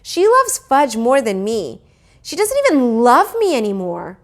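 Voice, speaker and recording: A woman's voice: a little high, huge pitch swings, a little slow, pitched above the performer's own voice, full character voice. A woman voicing an aggrieved fourth-grade boy in a storybook, sulky and complaining with indignation. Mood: sulky, hurt.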